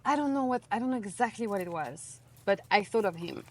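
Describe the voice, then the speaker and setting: A woman in her thirties with a French accent, a guest in a relaxed interview, talking casually and full of fillers. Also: mid-range voice, fairly quick. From France. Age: 30s. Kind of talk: casual conversation.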